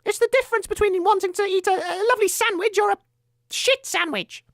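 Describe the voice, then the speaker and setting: A man playing a whiny cannibal gameshow contestant in a comedy audio drama, with a squeaky, shrill voice. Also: fairly quick, fairly bright tone, no room sound, clean studio sound. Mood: defensive.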